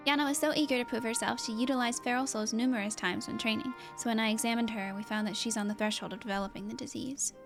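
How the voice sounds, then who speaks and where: A woman voicing an earnest, concerned female fighter from a video game, speaking in a polite, measured, serious way. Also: fairly high pitch, steady tone, music in the background, natural voice.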